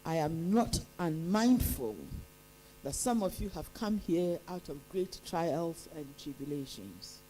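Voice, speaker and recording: Slow, deliberate quiz mistress. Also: female voice, on the low side, animated, mellow, a little hiss or hum, poor audio. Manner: deliberate, reads each line twice. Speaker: quiz master. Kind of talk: reading contest questions.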